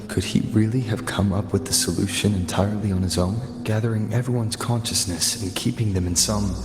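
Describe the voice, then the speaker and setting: An actor playing an earnest, thoughtful young male adventurer in a video game, speaking in a measured, pensive way. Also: sits low, lightly acted. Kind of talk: English video game voice acting. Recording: echoey hall reverb, music behind. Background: game music.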